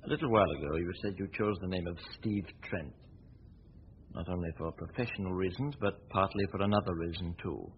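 An actor playing a suave, probing gentleman detective in a 1940s radio drama, questioning in a measured, formal way. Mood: serious, probing. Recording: tinny, noisy 1940s radio recording with rumble.

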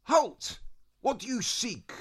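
A storyteller voicing a booming, chatty and easily muddled wizard from a children's storybook. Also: huge pitch swings, measured pace, a bit bright, heavily acted. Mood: booming, challenging.

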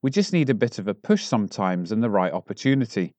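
A man reading newspaper articles aloud as a volunteer in a measured way, recorded at home. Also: fairly low pitch, very animated, darkish tone, dry recording. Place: at home, close and dry.

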